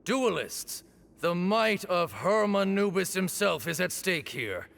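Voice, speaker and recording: A man's voice: high-pitched, animated, takes it slowly, gravelly, fairly bright tone, full character voice. A man playing a stern, formal duel arbiter in a video game, speaking in a ceremonial, commanding manner.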